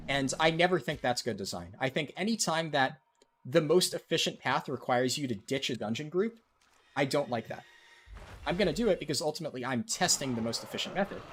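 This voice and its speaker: A man's voice: fairly high pitch, a bit bright, a little hiss or hum. A man live-streaming a game, chatting with viewers in a casual way with lots of ums and likes. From United States. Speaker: livestreaming gamer.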